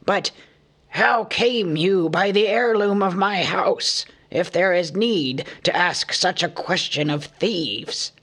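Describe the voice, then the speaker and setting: A man's voice: high-pitched, fairly bright tone, voice pushed up, exaggerated voice. A man reading an audiobook, voicing a proud, stubborn older dwarf king with a grave, wrathful manner. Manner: grave and measured, growing furious.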